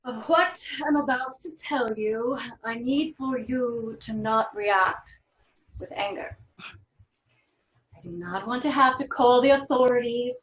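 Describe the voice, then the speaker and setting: A woman reading the part of a stern, formal law office official in a script table read, speaking deliberately with long pauses. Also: a little high, lo-fi sound. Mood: grave, firm.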